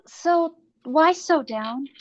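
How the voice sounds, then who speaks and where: A woman's voice: high voice, expressive, drawn-out words, lo-fi sound, heavily acted. A woman playing a playful, perceptive young goddess in a staged reading, breezy and teasing. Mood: playful, coaxing. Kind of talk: live staged play reading.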